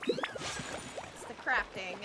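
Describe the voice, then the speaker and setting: High-voiced chatty woman streaming a game. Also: wildly swooping pitch, drawn-out words. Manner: chatty, friendly greetings to viewers. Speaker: game livestreamer. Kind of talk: casual livestream chat. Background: video game music.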